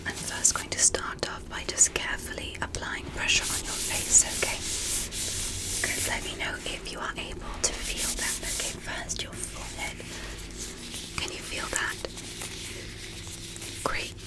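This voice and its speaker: A woman voicing a curious, polite alien doctor in a gentle, careful, clinical manner for a whispered ASMR medical roleplay. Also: unhurried, pauses often, lightly acted, poor audio.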